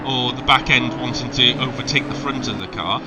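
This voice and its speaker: Welsh male sim racing caller, hesitant and chatty, average pitch, noisy recording. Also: fairly quick. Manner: hesitant, many ums and restarts. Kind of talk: live race commentary. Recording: loud background noise.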